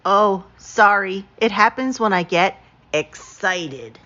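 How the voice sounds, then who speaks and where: A woman voicing an eager, excitable skunk assistant from a picture book, gushing with drawn-out exclamations. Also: mid-range voice, muffled recording. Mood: sheepish.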